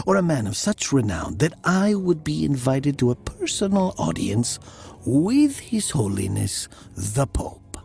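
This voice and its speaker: A man's voice: medium pitch, creaky, a little mellow, breathy edge, heavily acted. An actor playing an elderly, wealthy Italian man who tells his tale in a formal, theatrical manner. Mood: proud, reflective. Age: older. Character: elderly Italian man, wealthy former mayor, uneasy storyteller. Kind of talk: dramatic first-person storytelling.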